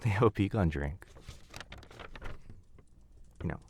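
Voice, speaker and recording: Low, unhurried, gentle young wizard boyfriend, man acting. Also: a little hiss or hum. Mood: fond, chatty. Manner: gentle, hesitant, softly spoken. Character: kind, flustered young male wizard, affectionate boyfriend type. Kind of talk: intimate boyfriend ASMR roleplay.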